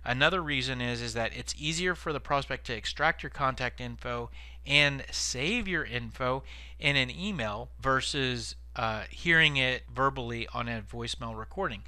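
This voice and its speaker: A man's voice: medium pitch, normal speed, pushed and bright, smooth, no room sound. A man giving informal instructional tips as a sales trainer, explaining conversationally and stressing key words.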